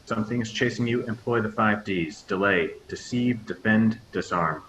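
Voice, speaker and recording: Deep, forceful, gruff commanding guard man. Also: moderate pace, close to an everyday voice. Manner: clipped, commanding. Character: gruff, menacing male commander and guard, curt orders. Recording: clearly noisy with hiss.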